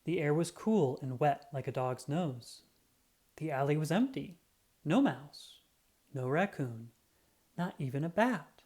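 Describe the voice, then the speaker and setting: Animated storybook narrator with very lively pitch. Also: measured pace. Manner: expressive, dramatic, acts out sound effects. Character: self-important cat detective telling his tale. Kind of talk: children's picture book read-aloud.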